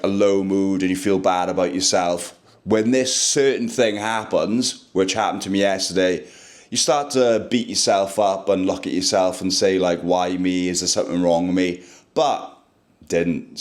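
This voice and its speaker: Young guy vlogging, super deep voice, chatty and earnest. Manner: chatty, earnest, talking straight to camera. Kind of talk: casual vlog monologue. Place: indoors, then outdoors by a pool.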